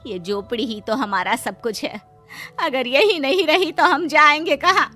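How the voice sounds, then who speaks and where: Woman acting a bright-voiced, earnest poor housemaid. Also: sits high, measured pace, soft background music. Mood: anxious. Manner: earnest, anxious. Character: poor, devoted housemaid wife, earnest and pleading. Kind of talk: Hindi moral cartoon story dialogue.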